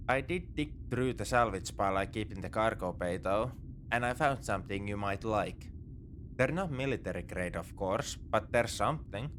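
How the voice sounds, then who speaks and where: Man acting a low-voiced, roguish space pirate. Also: lively intonation, moderate pace, a bit bright, slight background noise. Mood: calm, smug. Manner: casual, wry, coaxing. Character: roguish, morally grey male space pirate, casually reassuring captor. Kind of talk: second-person sci-fi ASMR roleplay acting.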